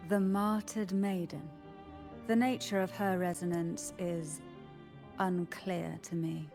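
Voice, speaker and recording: A woman playing a composed, secretive noblewoman in a video game, speaking in a formal, measured way. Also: fairly low pitch, level tone. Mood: solemn, explanatory. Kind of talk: scripted video game dialogue. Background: music.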